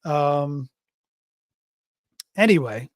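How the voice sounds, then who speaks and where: Middle-aged male stream host, slightly raspy from a cold, rambly and casual, somewhat high voice, dry close mic. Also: lively intonation, very slow, no echo. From Florida. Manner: rambling, tangents, frequent fillers and restarts. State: slightly hoarse, voice going raspy. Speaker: fantasy football show host. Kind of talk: casual live-stream sports talk.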